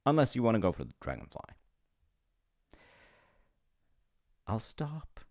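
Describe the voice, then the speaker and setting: A man's voice: fairly low pitch, wildly swooping pitch, quick pace, a little mellow. A man playing an awkward, chatty demigod of reincarnation in a comedic audio roleplay, stammering and apologetic as he talks to the listener. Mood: mildly indignant, wheedling. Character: flustered, chatty male demigod in charge of reincarnation.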